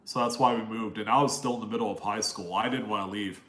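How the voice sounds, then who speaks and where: A man's voice: on the low side, steady tone, fast talker, fairly bright tone, echoey room. A nasal-voiced young man from Maryland hosting a casual live Q&A, friendly and rambling as he reads out viewer names and answers their questions. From East Coast US, Maryland. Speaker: live stream host answering chat questions.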